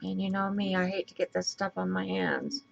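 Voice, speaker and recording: A woman with a raspy voice and a stuffy nose, chatting casually as she works through a crafting tutorial and thinks aloud. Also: medium pitch, steady tone, moderate pace, muffled recording, slight background noise. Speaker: craft video host.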